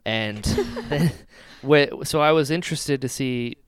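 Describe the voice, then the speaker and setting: Guy podcast co-host, smooth clear voice, jokey banter, very dry sound. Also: medium pitch, takes it slowly, dry recording. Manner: joking, emphatic, repeats phrases.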